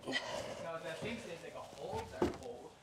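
A fellow climber giving soft, halting tips at the wall in a climbing gym. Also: mid-range voice, animated, heavy background noise. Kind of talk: casual chat at the climbing wall. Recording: distant, roomy, loud music over it.

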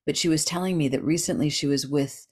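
A middle-aged woman with a low voice speaks in a relaxed, chatty way, explaining ideas with lively energy. She talks over a video call, warm and enthusiastic in delivery. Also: quick pace, slightly rough. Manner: animated, gestures with hands while talking. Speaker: dream expert guest. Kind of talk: casual interview chat.

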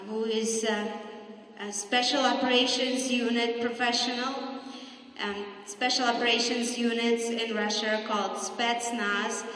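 Slow, even-toned woman in an echoey hall. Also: on the high side. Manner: calm, steady, with frequent ums. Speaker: demonstration commentator. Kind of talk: live commentary over a PA. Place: large gym hall. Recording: echoey hall, far from the mic.

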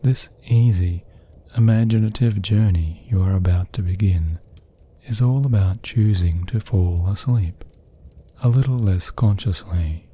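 A man with a lowish, very mellow and dark voice guides a sleep meditation. His tone is husky and breathy, hushed and soothing. He delivers each phrase gently and leaves long pauses. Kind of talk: guided sleep meditation, slow scripted narration.

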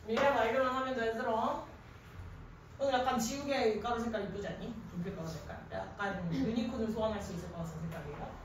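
A woman in her thirties teaching a grammar class in an animated, informal way, often quizzing students with questions. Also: on the high side, fast. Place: indoor classroom at a chalkboard. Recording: echoey classroom from a distance, faint noise.

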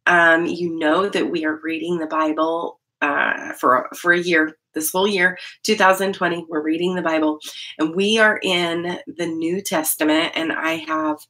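Low-voiced American woman chatting. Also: steady tone, measured pace, pushed and bright. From Idaho, United States. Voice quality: deep voice. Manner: rambling, chatty, often breaking off and restarting sentences. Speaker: host of a Bible-reading channel. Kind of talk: casual devotional vlog chat. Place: small indoor room at home.

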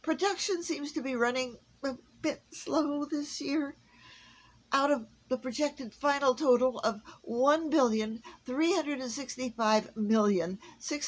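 A woman's voice: on the high side, a little hiss or hum, pitched above the performer's own voice, close to an everyday voice, poor audio. A woman voicing an anxious male elf foreman who stammers and pleads, in a storybook reading.

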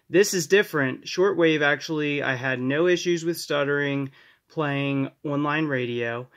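A man with a slightly high voice hosts a casual tech demonstration. He speaks in an upbeat, recommending manner and often circles back to repeat his points. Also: a bit bright. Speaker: tech tips video host. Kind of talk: casual hands-on app demonstration. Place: indoors at a desk.

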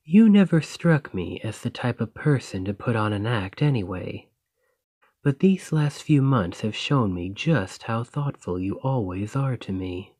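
A man playing a devoted, gentle boyfriend in a romantic ASMR roleplay, soft and husky, with a tender, reassuring manner. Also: mid-range voice, lively intonation, a little slow, no room sound. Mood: loving, earnest.

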